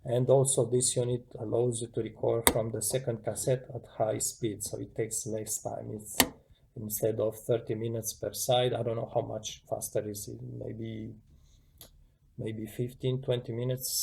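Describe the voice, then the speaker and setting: Low-ish creaky guy, doing a casual how-to demo, kinda halting, noisy audio w clicks. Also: level tone. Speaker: tutorial presenter. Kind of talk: casual hands-on demonstration, unscripted. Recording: clearly noisy home recording with clicks.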